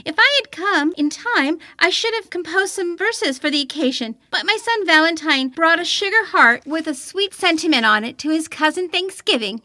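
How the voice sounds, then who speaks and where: A woman's voice: extremely high pitch, animated, normal speed, few pauses, sharp tone, dry recording, studio quality, voice pushed up, exaggerated voice. A woman voicing a genteel storybook lady poet with a simpering, affected manner.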